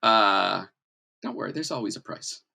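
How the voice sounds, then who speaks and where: A man's voice: lowish voice, expressive, normal speed, vocal fry, pushed and bright. A man narrating and refereeing a tabletop roleplaying game as game master in a conversational, explanatory way.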